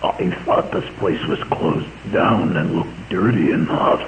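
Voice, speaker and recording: A man's voice: low-pitched, measured pace. A man playing a sinister undead killer in a rotting mascot suit, in a horror game style. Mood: menacing. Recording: muffled, noisy lo-fi clip.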